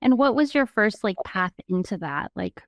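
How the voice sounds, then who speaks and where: A woman's voice: mid-range voice, lively intonation, normal speed, dry recording, studio quality. A woman of Italian heritage hosting a podcast, asking curious, prompting questions in a casual chat. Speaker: podcast host.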